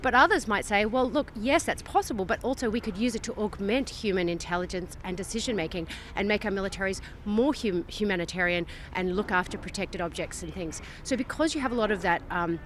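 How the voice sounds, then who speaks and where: A woman's voice: medium pitch, a little fast, hardly any pauses. A woman attendee explaining her views articulately in an outdoor interview at a handheld mic. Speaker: conference attendee. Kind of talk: street interview. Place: outdoors at a conference venue. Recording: faint urban outdoor noise.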